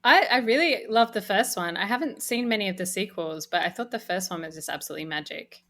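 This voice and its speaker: A woman's voice: mid-range voice, fast, a bit bright. A woman speaking as a podcast guest in a casual interview chat. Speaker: podcast guest, audio drama co-creator.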